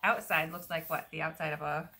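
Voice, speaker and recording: A woman's voice: deep voice, little pitch movement, a little fast, sharp tone. A woman in her thirties reading aloud and chatting casually with a child at home. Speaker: adult reading a picture book to a child. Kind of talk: casual read-aloud with chat. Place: living room sofa at home. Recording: faint noise, home video.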